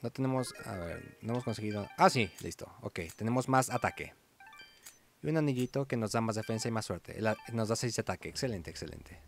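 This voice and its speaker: Animated man doing game commentary over music. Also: on the low side, fairly quick, vocal fry. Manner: informal, reads subtitles aloud and corrects himself. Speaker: gaming YouTuber. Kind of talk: let's play commentary with amateur live dubbing of game dialogue. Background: video game music.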